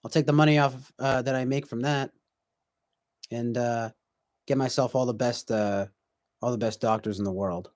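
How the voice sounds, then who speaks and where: A man's voice: mid-range voice, fairly even intonation, normal speed, pauses often, a little mellow, no echo. A man in his twenties chatting casually on a stream, reflective and rambling, with many fillers and restarts. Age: 20s. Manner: reads viewer comments aloud. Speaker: livestream host.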